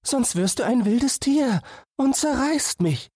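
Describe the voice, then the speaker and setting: A man's voice: extremely high pitch, lively intonation, takes it slowly, warm tone, breathy edge, dry recording, clean studio sound, voice pushed up, exaggerated voice. A man voicing an anxious, devoted little girl in a fairy tale, speaking in a pleading, tender manner.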